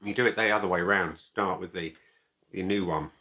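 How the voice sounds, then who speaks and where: A man giving a casual product review to camera as a video host, speaking in a halting, deliberate way and repeating phrases. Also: low-pitched, fairly even intonation, bright, forceful tone.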